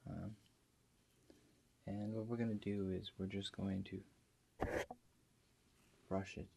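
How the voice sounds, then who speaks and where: A soft-spoken, husky man doing an ASMR roleplay as a calm, reassuring doctor, explaining gently and without hurry, with pauses. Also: deep voice, heavy background noise. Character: calm, reassuring doctor guiding a therapy session. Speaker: ASMR performer playing a doctor.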